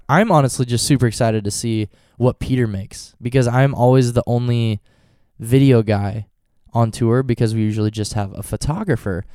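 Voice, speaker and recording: Stuffy guy w a cold rambling thru his vlog, goofy tangents, warm smooth voice, dry close sound. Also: mid-range voice, no room sound. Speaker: vlogger and touring band musician. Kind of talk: casual vlog chat.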